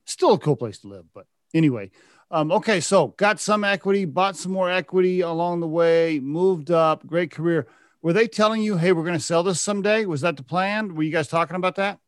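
A man's voice: high-pitched, expressive, fairly quick, no echo, clean studio sound. A man hosting an interview, asking leading questions and affirming the guest's answers. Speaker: podcast host.

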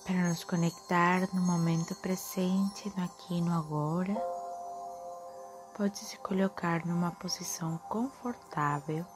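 A woman leading a guided meditation in a soft, soothing, often breathy manner with drawn-out phrases. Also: lowish voice, fairly even intonation. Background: soft music.

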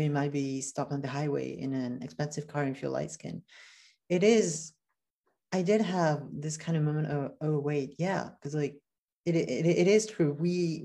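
A woman's voice: low-pitched, warm tone. A woman interviewing a guest, speaking in a hesitant way with many fillers and restarts. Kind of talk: conversational interview.

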